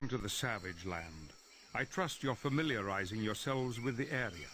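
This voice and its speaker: An actor playing a dignified older professor who leads with formal, calm authority in a video game. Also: male voice, fairly low pitch, moderate pace, very noisy, lightly acted. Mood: grave, composed. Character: dignified older male professor and leader, calm but reproving.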